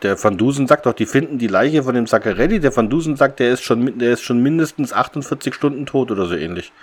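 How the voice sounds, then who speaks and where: Guy co-hosting a podcast, medium pitch, just explaining stuff in casual back and forth. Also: expressive, quick pace. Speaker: podcast co-host. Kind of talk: casual discussion of an audio drama's plot.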